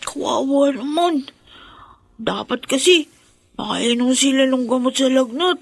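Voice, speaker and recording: A high, youthful voice playing a poor young Filipino boy in a radio drama. He is earnest, respectful and eager to help his family. The sound is close and dry. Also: unhurried, creaky, no room sound, exaggerated voice. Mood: concerned, determined.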